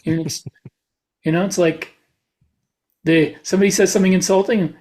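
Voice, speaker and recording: A man with a voice well on the high side talks in a casual panel chat. He speaks as a podcast co-host and writer. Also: fairly even intonation, moderate pace, mellow.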